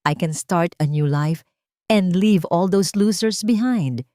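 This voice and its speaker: A smug, scheming Filipino swindler woman, as a female storyteller acts her out. She gloats privately, as in an audio drama. The voice is fairly low and recorded dry. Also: slow, mellow, no room sound. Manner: whispered-to-herself gloating.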